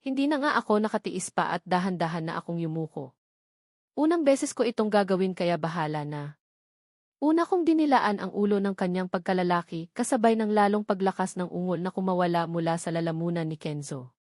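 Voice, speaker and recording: A woman's voice: lowish voice, expressive, fairly quick, darkish tone, no echo, clean studio sound. A woman reading a first-person romance novel as a scripted audiobook narrator.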